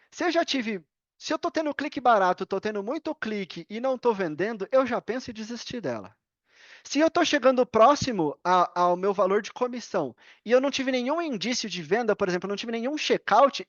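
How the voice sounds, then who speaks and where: A woman's voice: fairly high pitch, no room sound, dull audio. A Brazilian woman speaking Portuguese as a podcast guest, explaining casually and emphatically with examples and questions to herself, opinionated and repeating key points. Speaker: podcast guest, affiliate marketer.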